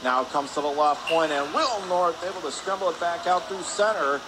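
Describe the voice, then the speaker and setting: A man with a slightly high voice calls a hockey game live for radio. His delivery is brisk and clipped, following the play moment by moment. Loud rink noise runs behind him. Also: lively intonation. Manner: brisk clipped present-tense calls, rising on shots. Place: indoor ice rink. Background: loud arena ambience noise.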